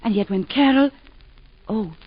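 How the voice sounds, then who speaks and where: An actress playing a composed, witty wife and mother who speaks in a gently teasing, lightly ironic way in 1940s radio acting. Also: mid-range voice, measured pace, slightly rough. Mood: sly. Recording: dull 1940s radio broadcast.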